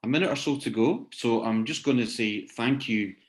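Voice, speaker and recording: A man's voice: mid-range voice, moderate pace. A man hosting an online seminar informally, hesitant and often repeating words as he searches for his phrasing. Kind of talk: informal spoken closing remarks to attendees. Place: online video session.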